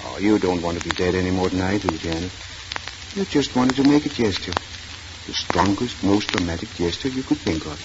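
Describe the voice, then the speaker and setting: An actor playing a kindly but firm family doctor in a 1940s radio drama. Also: male voice, low voice, brisk, natural voice. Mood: firm, probing. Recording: noisy, muffled 1940s radio recording.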